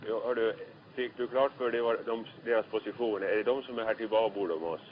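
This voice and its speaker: A man's voice: on the low side, fairly even intonation. A man working as a ship's radio officer, exchanging maritime traffic over VHF radio. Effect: marine VHF radio. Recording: muffled lo-fi marine radio.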